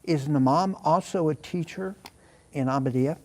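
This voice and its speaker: A man's voice: fairly high pitch, moderate pace, darkish tone. Courteous male interview host. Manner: courteous, inquisitive. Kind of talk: scripted intro then conversational questions.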